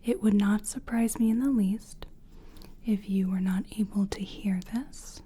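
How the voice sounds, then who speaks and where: Woman, ASMR roleplay, breathy whisper, mellow, unhurried, soothing, gentle practitioner manner. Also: mid-range voice, slight background noise. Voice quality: breathy, mostly unvoiced whisper. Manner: gentle, soothing, deliberate, reassuring. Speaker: ASMR performer playing a soul-cleansing practitioner.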